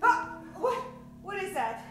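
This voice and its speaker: Very high-pitched theatrical woman convict, played by an actress. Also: very animated, unhurried, slight background noise, exaggerated voice. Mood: terrified. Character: jaded, frightened woman convict working in prison library. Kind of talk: old-time radio drama acting. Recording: echoey live stage.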